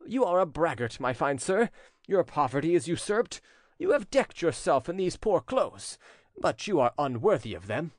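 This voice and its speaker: A man's voice: a little high, very animated, normal speed, hardly any pauses, a little mellow, no room sound, clean studio sound, voice pushed up. A man voicing a proud young fisherman in a storybook, a protective brother who speaks in a haughty, scornful, declamatory way. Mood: contemptuous.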